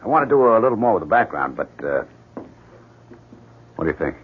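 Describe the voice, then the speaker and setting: A man's voice: lowish voice, big pitch swings, fairly quick. A man acting an eager, moody painter husband in a 1970s radio drama. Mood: elated. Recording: muffled, hissy 1970s radio broadcast.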